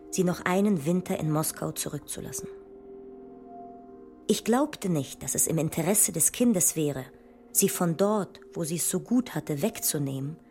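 A woman playing a principled, resolute nineteenth-century scholar and mother, reading her memoir in a formal, deliberate way. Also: on the low side, darkish tone, slightly rough. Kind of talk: dramatised reading of a memoir letter. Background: music.

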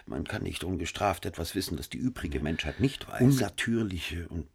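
An educated gentleman with a guilty secret in a radio play. The voice is low and husky, and the delivery swings widely from offhand to impassioned. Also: expressive, moderate pace, exaggerated voice. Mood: confessional, agitated. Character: educated man, a murderer and writer confessing his secret crime. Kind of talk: German radio drama acting.

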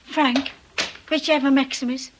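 An actress playing an anxious, timid young wife in a radio drama, earnest and breathy. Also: female voice, sits high, fairly even intonation, measured pace. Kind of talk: radio drama acting with narration. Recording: hissy old radio play recording.

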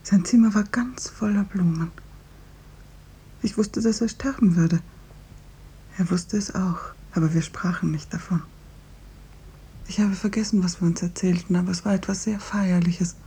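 Breathy, frail dying woman. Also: fairly low pitch, fairly even intonation, moderate pace, long pauses, dark tone. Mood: weak, tender, resigned. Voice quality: breathy, frail, often half-whispered. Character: dying woman patient, suffering, pleading, bravely resigned. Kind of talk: 1950s German radio drama acting. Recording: 1950s radio recording.

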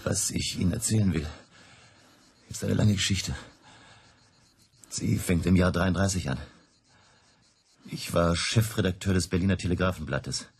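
An actor in a radio drama playing a cold, menacing journalist with a controlled, commanding and contemptuous way of talking. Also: male voice, low-pitched, moderate pace, gravelly, pauses often, husky, exaggerated voice. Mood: grave. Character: cold menacing German journalist living under false names.

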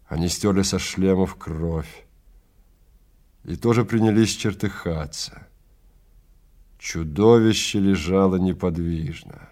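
A man narrating a story in a theatrical, deliberate manner with dramatic pauses. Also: low voice, a little mellow.

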